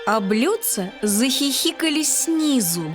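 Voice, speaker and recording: A woman storyteller narrating a children's bedtime tale in an expressive, articulate way. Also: sits high, slow, over music.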